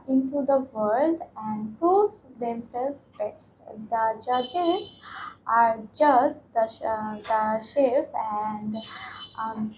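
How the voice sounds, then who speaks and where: A teenage woman from Bangladesh, answering exam questions slowly with many ums and pauses. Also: a little high, a little mellow, slight background noise, rough recording. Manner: hesitant, frequent ums and pauses. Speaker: test candidate. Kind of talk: mock speaking exam answers.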